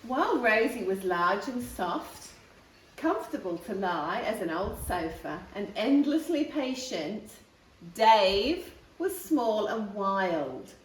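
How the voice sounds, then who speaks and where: A woman hosting storytime, reading a picture book aloud in an expressive way, stretching key words for young listeners. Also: mid-range voice, takes it slowly, slight background noise. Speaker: storytime host reading a picture book to viewers and her dog. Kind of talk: animated children's story reading. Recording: roomy echo, distant mic.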